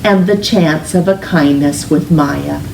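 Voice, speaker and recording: A woman reading a children's picture book aloud in an expressive, gentle, deliberate storytelling manner, with drawn-out emphasis on words. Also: sits low, gravelly. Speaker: storytime reader, teacher. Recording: roomy, distant mic with faint hiss.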